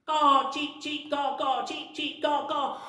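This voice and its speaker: A man voicing a pair of fussy nesting birds with a shrill, twittering voice. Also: slow pace, fairly bright tone, roomy sound, heavily acted. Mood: chirpy.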